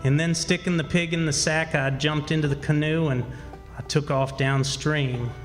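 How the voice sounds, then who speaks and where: A man's voice: fairly high pitch, fairly even intonation, moderate pace, music in the background, natural voice. A man playing a wily runaway country boy who tells his own adventures in rustic speech for a radio drama. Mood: sly, self-satisfied. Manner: rustic ungrammatical storytelling. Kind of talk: first-person radio drama narration. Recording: live stage hall with reverb.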